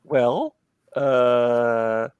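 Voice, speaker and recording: A man hosting a live art stream, losing his voice and talking in a relaxed, rambling way with many fillers. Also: mid-range voice, extremely slow, clean tone, no echo, dull audio. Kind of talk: casual late-night chat.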